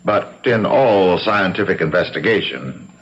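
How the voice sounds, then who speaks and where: Deep-voiced, needling boss. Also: male voice, animated. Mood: breezy, needling. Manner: dry, brisk, blandly teasing. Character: needling, breezy male boss of resort sales company. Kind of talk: 1970s radio drama acting. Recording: dull, faintly noisy 1970s radio broadcast.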